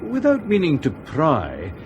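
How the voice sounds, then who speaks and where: An actor playing a genial, avuncular airline captain in a radio drama. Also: male voice, fairly high pitch, wildly swooping pitch, unhurried, lo-fi sound, soft background music. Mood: genial, curious.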